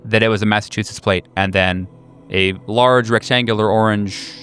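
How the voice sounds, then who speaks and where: Low-voiced man running a tabletop game. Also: steady tone, moderate pace, a bit bright, faint music behind. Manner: casual, hesitant, rephrasing as he goes. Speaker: game master. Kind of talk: improvised tabletop game narration.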